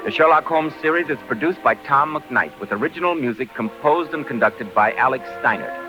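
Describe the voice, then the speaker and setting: A man's voice: mid-range voice, animated, fairly quick. A man announcing in the scripted style of 1940s network radio. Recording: dull vintage radio recording, music behind.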